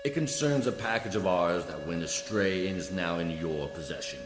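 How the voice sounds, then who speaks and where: A man's voice: low voice, normal speed, lightly acted. A man acting a coolly formal, condescending alien judicial official in a science fiction radio play, speaking with precise diction. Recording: echoey hall reverb, music behind.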